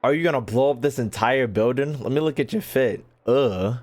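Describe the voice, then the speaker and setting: Man, gaming YouTuber, medium pitch, casual playthrough commentary, slangy, jokey, reads dialogue aloud. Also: normal speed, darkish tone.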